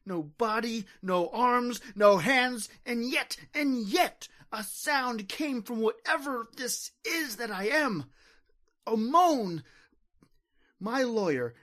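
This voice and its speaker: A woman playing a desperate, terrified invisible widowed soul, dramatic and halting, in an old-time radio horror monologue. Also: fairly high pitch. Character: desperate, terrified invisible widower trapped between life and death.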